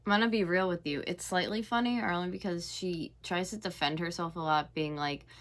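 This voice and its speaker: Chatty twenty-something woman doing a reaction vlog, lowish voice, lots of "like". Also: fairly quick. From North America. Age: 20s. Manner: chatty and gossipy, heavy use of "like". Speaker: YouTube host reacting to clips. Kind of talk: casual reaction commentary.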